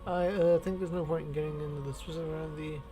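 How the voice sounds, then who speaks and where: A man's voice: a little high, steady tone, fast, dark tone, soft background music. A man giving casual gameplay commentary with slangy, boastful talk and plenty of swearing. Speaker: gaming streamer.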